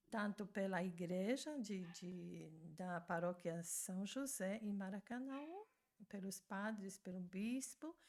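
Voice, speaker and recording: Older nun, talks real slow, pauses a lot, mid pitch. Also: female voice, a little mellow. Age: 60s. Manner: slow and deliberate, with frequent pauses and restarts. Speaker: religious sister. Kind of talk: recounting memories in conversation.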